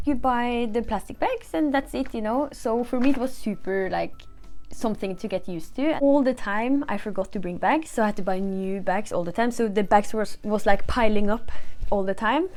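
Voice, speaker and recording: A woman's voice: medium pitch, dark tone. A young woman from Norway, a vlogger chatting casually to camera with lots of "like" and "you know".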